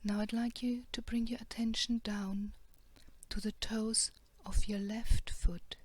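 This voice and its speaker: A woman guiding a body scan meditation in a soft, hushed, measured way with long pauses. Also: on the high side, little pitch movement, breathy edge. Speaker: meditation guide.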